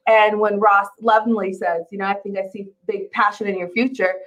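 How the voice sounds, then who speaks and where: A woman co-hosting a casual recap chat about a TV show, telling things in an animated, giggly way. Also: mid-range voice, a little fast, lo-fi sound. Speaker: podcast co-host.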